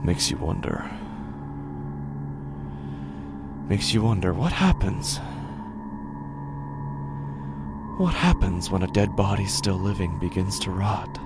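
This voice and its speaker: A man playing an anguished, rotting living corpse in a horror audio drama, speaking haltingly, repeating himself and dropping into whispers. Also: sits low, background noise. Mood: brooding, bewildered. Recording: music behind the voice. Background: music.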